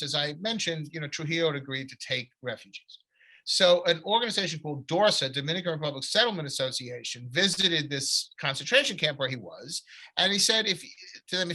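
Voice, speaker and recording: A middle-aged man, an author telling long rambling stories from his family history in a relaxed conversation. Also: fairly high pitch, poor audio. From United States. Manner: long rambling anecdotes with restarts. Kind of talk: conversational storytelling.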